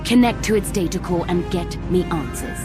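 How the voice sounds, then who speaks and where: A woman's voice: lowish voice, animated, normal speed, natural voice. A woman playing an imperious, impatient warlike empress in a video game, commanding and scornful. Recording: loud music behind.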